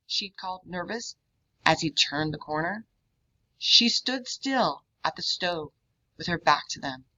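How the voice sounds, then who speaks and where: A woman reading a children's book aloud in an expressive manner. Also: deep voice, highs cut off. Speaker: read-aloud reader.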